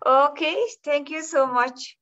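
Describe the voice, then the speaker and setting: Unhurried woman host on a dull line. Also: high voice, fairly even intonation, a bit bright. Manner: unhurried, repeats her questions. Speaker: host posing questions. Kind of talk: meeting moderation.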